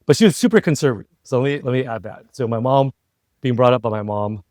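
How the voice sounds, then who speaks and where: A man's voice: mid-range voice, fast talker, a little mellow, no echo, studio quality. A middle-aged American man chatting reflectively as an interview guest, talkative and often checking for agreement as he goes. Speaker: interview guest, entrepreneur and former CEO. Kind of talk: casual conversational interview.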